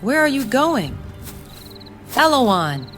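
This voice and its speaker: Audio drama role: actress as anxious woman on horseback, highish voice, very lively intonation, measured pace. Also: soft background music, heavily acted. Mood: alarmed calling. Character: anxious woman rider questioning a risky plan.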